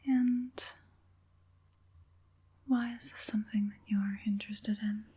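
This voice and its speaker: A woman voicing an evil witch puppet master in an ASMR roleplay, mostly whispering in a breathy, deliberate, murmuring way. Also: mid-range voice, steady tone, dark tone. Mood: hushed, probing. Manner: slow, deliberate, murmuring assent between pauses.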